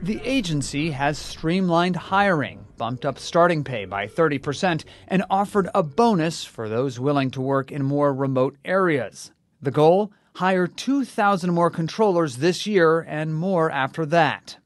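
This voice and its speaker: American male news reporter, higher-pitched voice. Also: expressive, measured pace. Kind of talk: scripted news narration.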